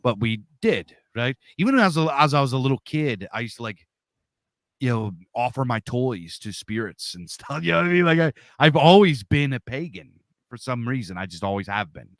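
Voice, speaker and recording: Loud guy, 40s, big voice, dry close sound, just chatting as a guest. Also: mid-range voice, sing-song, moderate pace, dry recording. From Pennsylvania, USA. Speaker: interview guest. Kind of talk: casual storytelling.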